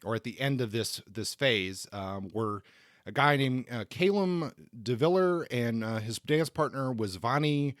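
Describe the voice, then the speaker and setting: A man co-hosting a podcast, explaining history in a conversational way, often halting and repeating words. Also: medium pitch, no echo, studio quality. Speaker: podcast co-host.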